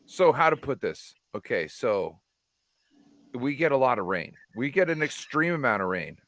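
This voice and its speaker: A man from California shooting the breeze as host of a casual online show, profane and rambling, easy middle-pitched voice. he sounds close and dry, with no room around him. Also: sing-song, moderate pace, a bit bright, dry recording. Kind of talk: casual chat.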